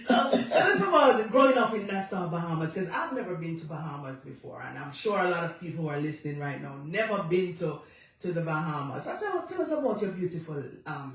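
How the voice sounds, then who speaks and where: A man hosting a relaxed radio interview, chatty and quick to laugh, repeating his guest's answers back. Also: sits high. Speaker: radio show host. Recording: echoey room, distant from the mic.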